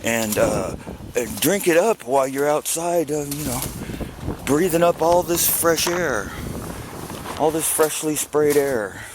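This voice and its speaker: A man's voice: on the high side, animated, takes it slowly, noisy background. A man giving a rambling, off-the-cuff monologue as a vlogger, with a sarcastic, mock-earnest manner.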